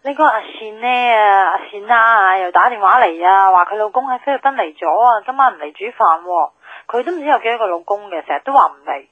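A woman's voice: mid-range voice, expressive, brisk, hardly any pauses, no echo, lightly acted. An actress playing a chatty, blunt young woman with a Hong Kong accent, grumbling and teasing casually in a radio drama. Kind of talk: naturalistic radio drama dialogue. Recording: tinny, dry telephone line.

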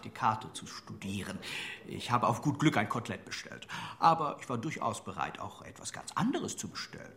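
A man's voice: fairly low pitch, pushed and bright, reverberant, heavily acted. An actor playing a timid, flustered man who apologizes anxiously and at rambling length in a radio play. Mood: meek, apologetic. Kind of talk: German radio play acting.

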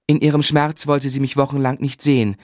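A man's voice: mid-range voice, flat delivery, fairly quick, darkish tone, no echo, close to an everyday voice. Radio play lead: male actor playing a determined, romantic young man, close dry sound, earnest delivery. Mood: reflective. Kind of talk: German radio play acting and narration.

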